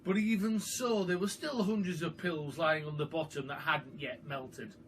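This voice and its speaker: A man reading a children's story aloud as a storyteller, in an expressive, dramatic and emphatic manner. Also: a little high, moderate pace. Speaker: storyteller, primary school teacher. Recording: far from the mic, faint background noise.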